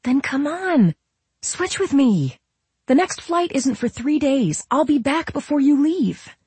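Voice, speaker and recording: Audiobook character, female reader: eager young woman, high-pitched, urgent, pleading, persistent. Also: big pitch swings, slow pace, mellow, no room sound, exaggerated voice.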